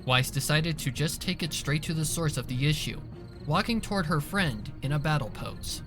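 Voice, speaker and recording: Higher-pitched male story narrator over music. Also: expressive, moderate pace. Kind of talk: scripted dramatic story narration. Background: soft music behind the voice.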